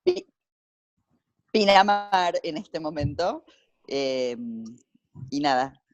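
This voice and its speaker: A woman's voice: deep voice, expressive, very slow, dull audio. A woman casually introducing herself as a participant in a class.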